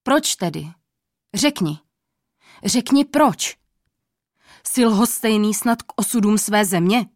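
A woman voicing a proud, stern male king who is quick to anger, in a storybook reading with a dry, close sound. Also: mid-range voice, dry recording, lightly acted. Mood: angry. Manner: commanding, loud outbursts.

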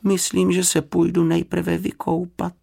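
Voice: medium pitch, monotone, gravelly, mellow, voice pushed up. A storybook reader voicing a small, polite young bear, earnest, timid and courteous. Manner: courteous, careful, slightly hesitant.

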